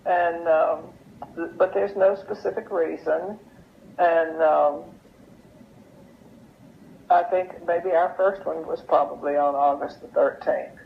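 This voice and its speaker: An older woman from an interview, with a creaky, gravelly voice. She tells stories in a casual, chatty manner. The sound is dull and lo-fi with faint noise. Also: sits low, fairly even intonation, slow pace, long pauses. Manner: chatty, anecdotal. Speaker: interview guest. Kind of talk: casual storytelling. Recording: muffled lo-fi audio with noise.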